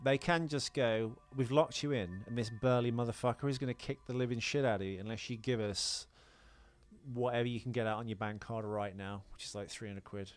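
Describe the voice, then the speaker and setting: A man's voice: mid-range voice, steady tone, fairly quick. British man in casual, sweary podcast banter. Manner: informal, sweary, explanatory. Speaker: podcast co-host.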